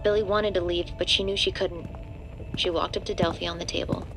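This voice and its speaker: A woman's voice: on the low side, fairly even intonation, a little fast, a bit bright, poor audio. Woman narrating horror over music. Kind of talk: scripted horror drama narration. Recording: music playing underneath.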